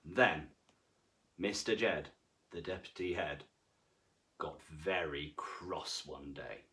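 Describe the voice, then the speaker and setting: A man reading to children as a storyteller, with expressive, deliberate and dramatic phrasing. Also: rumbling low. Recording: voice far from the mic.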